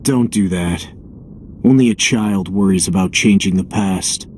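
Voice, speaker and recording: Low-voiced, blunt male comrade. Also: a little mellow, a little hiss or hum. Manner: measured, frank, matter-of-fact. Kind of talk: scripted game cutscene acting.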